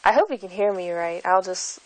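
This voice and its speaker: A woman's voice: lowish voice, lively intonation, fairly quick, dry recording, rough recording. A young woman vlogging from home, rambling and self-conscious with frequent giggles, filmed on a phone held at arm's length. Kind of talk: casual rambling vlog.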